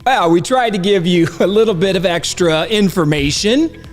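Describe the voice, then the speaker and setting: A man's voice: sits high, expressive, moderate pace, in a large hall, soft background music. A male podcast host with a stuffed-up nose, rambling in casual commentary and laughing as he talks. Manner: chatty, rambling, laughs while talking.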